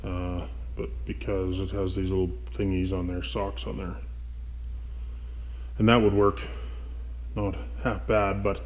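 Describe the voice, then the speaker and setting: A man with a fairly low voice talks very slowly, hesitating and thinking aloud. He sounds like a vlogger casually showing gear in a garage workshop, with no script and plenty of rambling. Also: flat delivery, poor audio. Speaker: motovlogger host. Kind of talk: casual unscripted gear walkthrough.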